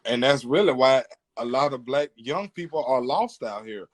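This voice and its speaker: A man speaks as a podcast host in a medium-pitched voice. He sounds earnest and informal, laying out his opinions in casual conversation. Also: animated, moderate pace.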